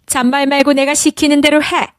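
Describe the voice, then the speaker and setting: A woman's voice: sits high, level tone, a little fast, dry recording, studio quality, voice pushed up, lightly acted. A woman voicing a vain, cruel witch queen in a storybook, with an imperious, scheming manner. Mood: coldly commanding.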